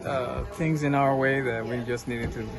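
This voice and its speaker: A man from the U.S. Virgin Islands, answering interview questions in a relaxed, soft-spoken way. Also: medium pitch, normal speed, creaky, over music.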